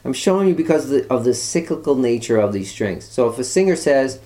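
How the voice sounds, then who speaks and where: A man's voice: mid-range voice, expressive, fast, mellow. A man giving a guitar lesson, patiently walking students through chords step by step. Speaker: guitar teacher. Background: guitar playing.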